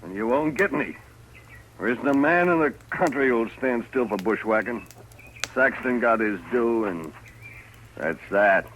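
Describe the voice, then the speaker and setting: A hard-headed, vengeful cattle rancher who challenges curtly. The voice is mid-pitched, in old radio drama style with faint recording noise. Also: male voice, expressive, measured pace, fairly bright tone, low-quality recording. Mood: defiant. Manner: curt, challenging. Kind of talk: 1950s radio acting.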